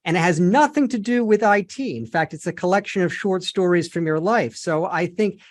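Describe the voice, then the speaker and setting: A man's voice: sits high, moderate pace. A man hosting a tech podcast, asking long, wordy interview questions and restarting his phrasing now and then.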